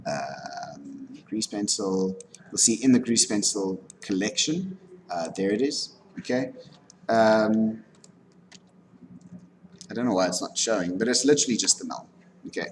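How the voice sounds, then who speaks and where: A man lecturing informally on animation software, talking through a live demonstration and often checking in with his students. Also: lowish voice, animated, a little slow, pauses often. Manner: instructive, frequently checking in with "okay". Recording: background noise, room ambience.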